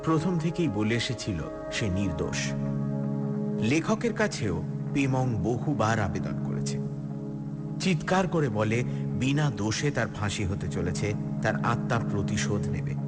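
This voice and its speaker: Measured male presenter over loud music. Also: medium pitch, sing-song. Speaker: radio show presenter. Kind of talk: scripted story recap.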